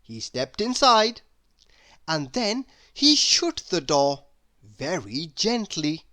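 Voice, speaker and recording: A woman narrating a children's story expressively, with deliberate dramatic pauses. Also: lowish voice, very animated, fairly bright tone.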